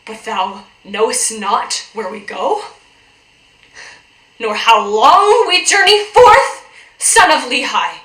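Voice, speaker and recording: A woman's voice: a little high, lively intonation, bright, forceful tone, echoey room, heavily acted, poor audio. A woman reading an earnest, stilted scripture-drama part for an audition self-tape. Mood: accusing. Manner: slow, stilted, overly earnest. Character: stern scriptural accuser, formal old speech.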